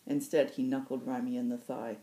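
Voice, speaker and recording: Female storyteller, markedly low register, mellow tone, reading novel excerpts as host. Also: level tone, a little fast, rough recording. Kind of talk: audiobook-style fiction narration.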